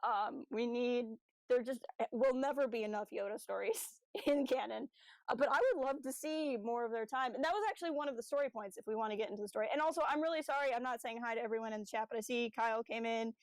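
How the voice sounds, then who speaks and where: A woman with a fairly high voice hosts a casual fan discussion podcast. She talks enthusiastically, like an excited fan, slipping into little acted-out quotes. The sound is dry and close. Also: fast talker, no echo, poor audio. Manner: animated fangirl enthusiasm, acting out imagined dialogue. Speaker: podcast host. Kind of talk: casual chat about a fan audio drama.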